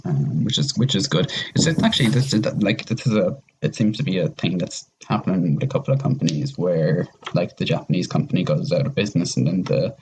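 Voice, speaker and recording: A man's voice: low-pitched, brisk, few pauses, darkish tone, a little hiss or hum, low-quality recording. A man chatting casually as a podcast guest, talking in a rambling, conversational way. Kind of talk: casual chat.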